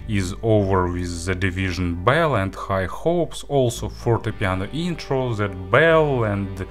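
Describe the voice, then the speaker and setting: A man giving a spoken audio gear review, phrasing things haltingly but with enthusiastic emphasis. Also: lowish voice, animated, soft background music.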